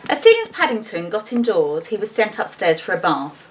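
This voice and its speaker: A woman's voice: medium pitch, very animated, fairly quick. A woman reading a storybook to children expressively, with chatty, informal asides as she shows the pictures. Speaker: school teacher reading a storybook aloud. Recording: distant mic with faint background noise.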